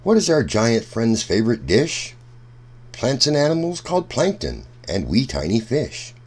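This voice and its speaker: A man reading a rhyming children's book aloud in a deliberate, sing-song storytelling manner. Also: medium pitch, sing-song, darkish tone, husky.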